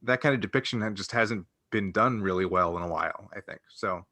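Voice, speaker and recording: A man's voice: on the low side, a little fast, pushed and bright, no echo. A man co-hosting a casual fan discussion, speaking earnestly and often rephrasing himself mid-thought. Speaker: podcast co-host.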